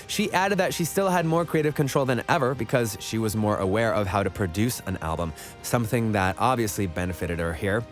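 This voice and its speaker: A man's voice: lowish voice, expressive, a little fast, faint music behind. A man reading scripted narration for an online countdown list video.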